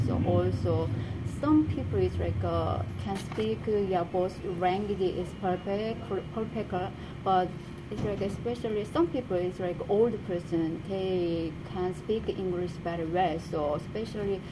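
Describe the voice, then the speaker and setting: A woman from Korea with a Korean accent, a college student answering interview questions in halting English, searching for words with many fillers. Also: on the low side. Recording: noticeable background noise.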